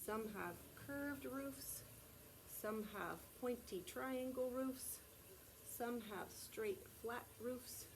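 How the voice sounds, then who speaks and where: A woman preschool teacher reading aloud to young children in a deliberate, child-directed way, often asking prompting questions. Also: a little high, a little hiss or hum. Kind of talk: read-aloud and sing-song circle time for young children.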